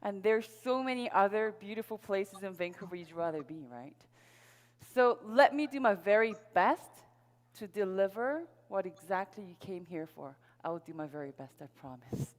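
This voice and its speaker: A woman's voice: medium pitch, lively intonation, moderate pace. Woman presenting on stage in a reverberant hall. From Seoul, South Korea. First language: Korean. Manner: upbeat, rallying the audience, personal storytelling. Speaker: sales presenter at a network marketing event. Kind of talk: motivational stage presentation to an audience. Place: large event hall on stage.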